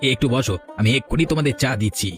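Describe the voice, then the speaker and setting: A man's voice: medium pitch, racing, natural voice. A man voicing an easygoing, chatty village tea-stall keeper in a cartoon. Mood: friendly. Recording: music under the voice.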